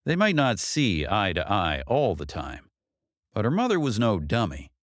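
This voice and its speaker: Guy narrating an audiobook, lowish voice, lively pitch, dry close sound. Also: normal speed, no echo. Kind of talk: scripted novel narration.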